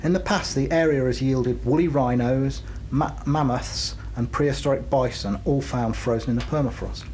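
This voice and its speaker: A man's voice: medium pitch, a little mellow, slight background noise, low-quality recording. A man co-hosting a radio show and reading news items in a studio. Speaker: radio co-host.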